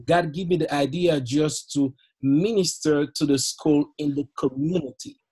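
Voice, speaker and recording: A man's voice: a little high, a little slow, a little mellow, breathy edge. A middle-aged man from Haiti with a Haitian accent, a youth pastor guest on a podcast, telling his story earnestly with frequent laughs and repeated words. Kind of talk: podcast interview, personal story.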